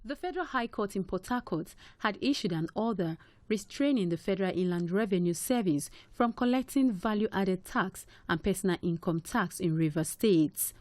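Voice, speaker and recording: A woman reporter reading a news report in a measured, formal voice. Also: medium pitch, darkish tone, no echo.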